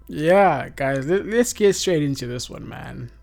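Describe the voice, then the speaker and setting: A man's voice: fairly high pitch, a little slow, creaky, soft background music. A man in his twenties from South Africa, hosting a casual podcast chat and rambling easily with lots of fillers. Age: 20s. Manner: relaxed, rambling with many fillers. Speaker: podcast host.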